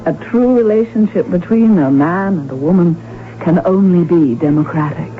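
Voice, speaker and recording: A woman's voice: fairly low pitch, moderate pace, close to an everyday voice. Woman playing an arch countess, muffled old radio. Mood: guarded, formal. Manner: formal, arch, precise diction. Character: witty, outspoken countess from an impoverished noble house. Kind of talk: radio drama acting. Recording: muffled old radio broadcast, music behind. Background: music.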